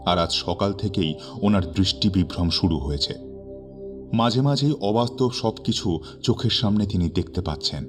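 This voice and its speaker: A man narrating a horror story in a dramatic, suspenseful way with measured, theatrical phrasing. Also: lowish voice. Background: background music.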